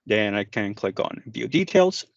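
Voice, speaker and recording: A man's voice: on the low side, big pitch swings, measured pace, vocal fry, no room sound, muffled recording. A man giving a conversational product walk-through, explaining each step directly to the viewer. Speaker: product manager presenting a product demo. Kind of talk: software walk-through tutorial.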